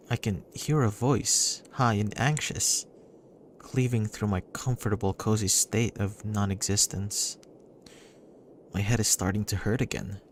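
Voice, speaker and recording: A man reading a visual novel as dramatic first-person narration, pausing between short phrases. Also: fairly low pitch, slight background noise. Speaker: reader voicing a visual novel. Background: music.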